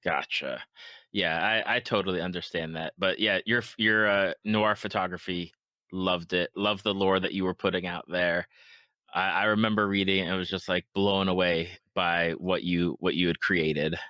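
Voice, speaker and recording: A man's voice: sits low, fairly even intonation, normal speed, pushed and bright, no room sound. A man hosting a podcast, interviewing in a casual, affirming and agreeable way. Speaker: podcast host.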